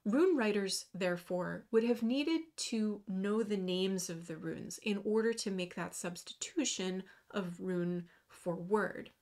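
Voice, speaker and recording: A woman's voice: medium pitch, a little mellow. A woman in her thirties giving a scripted lecture over slides, reading carefully from a small room at home. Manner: measured, careful reading with slow emphasis on terms. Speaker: runology lecturer. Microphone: webcam video in the corner.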